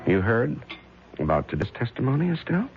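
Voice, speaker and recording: An actor playing a secretive, brooding husband and murder suspect in a theatrical, insinuating radio mystery style. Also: male voice, mid-range voice, very animated, fairly quick. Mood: bitter, insinuating. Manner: theatrical, insinuating, self-questioning. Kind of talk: 1970s radio mystery acting. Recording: dull radio recording with music.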